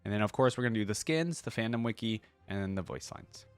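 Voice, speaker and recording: Man, lowish voice, casual reaction commentary, excitable, inquisitive, faint music behind. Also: fast, clear, resonant tone, no echo. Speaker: reaction video host.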